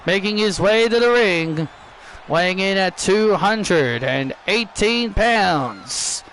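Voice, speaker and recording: A man's voice: high voice, lively intonation, fairly bright tone, a little hiss or hum, full character voice, poor audio. A man voicing a male ring announcer who stretches out each word. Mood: formal. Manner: drawn-out announcing.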